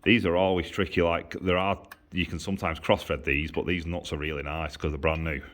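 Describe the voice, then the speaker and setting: A man's voice: low-pitched, flat delivery, fairly quick, a bit bright. This is a man. He talks informally, as a mechanic showing practical work on a handheld camera would. He explains each step plainly and often repeats himself. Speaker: motorcycle exhaust maker and mechanic. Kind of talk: casual hands-on workshop demonstration. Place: motorcycle workshop. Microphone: handheld camera held by the speaker.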